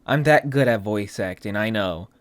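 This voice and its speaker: A man's voice: on the low side, moderate pace. A man giving a casual commentary on voice work for a video game, speaking as the developer and voice actor.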